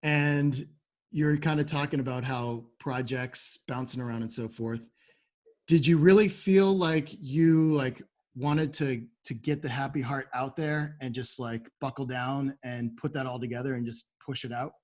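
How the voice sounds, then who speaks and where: A man's voice: mid-range voice, steady tone, unhurried, a little mellow. A man hosting a casual interview chat in an agreeable, easygoing manner. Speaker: podcast host.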